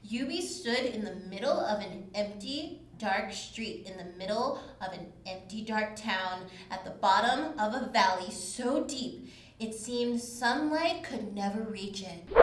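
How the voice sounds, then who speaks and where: A woman reading a children's storybook aloud in an expressive style. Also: medium pitch, a little slow. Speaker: storybook reader. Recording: echoey room, distant mic, faint noise.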